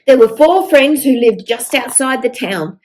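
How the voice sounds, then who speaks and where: An older woman reading a picture book aloud to young children in an expressive storytelling manner. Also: high voice, measured pace, a little hiss or hum. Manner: expressive, with exaggerated dialogue.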